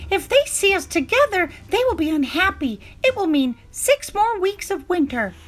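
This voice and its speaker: A woman voicing a shy, excitable young male groundhog in a children's storybook. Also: extremely high pitch, normal speed, voice pushed up. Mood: excited. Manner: stammers when frightened, exclaiming.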